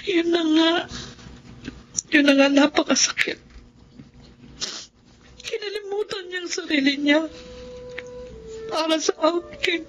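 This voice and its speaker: A woman's voice: very high voice, slow pace, long pauses. An actress playing a grieving, ailing mother who blames herself, speaking in a tearful, pained way in a radio drama. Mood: anguished. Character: grieving, self-blaming ailing blind mother. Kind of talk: Tagalog radio drama acting. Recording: muffled radio drama with music underneath.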